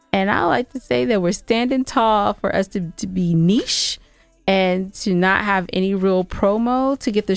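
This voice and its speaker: A woman's voice: mid-range voice, smooth, no echo. An American woman co-hosting a podcast in casual, unscripted banter, chatty and informal. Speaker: podcast co-host.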